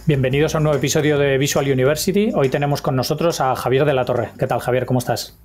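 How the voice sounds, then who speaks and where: A man's voice: mid-range voice, fast, a little mellow, soft background music. A man hosting a photography podcast, introducing his guest and asking short friendly questions over a video call. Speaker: podcast host. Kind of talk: casual interview. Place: home room with shelves. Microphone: video call, webcam.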